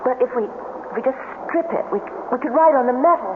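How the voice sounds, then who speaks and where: A woman's voice: high voice, fast, close to an everyday voice. Brief: actress playing practical American woman trapped underground, dry wit, vintage radio drama, narrow noisy sound. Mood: wry, resourceful. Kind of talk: 1970s radio drama acting. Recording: noisy, narrow-band old radio recording.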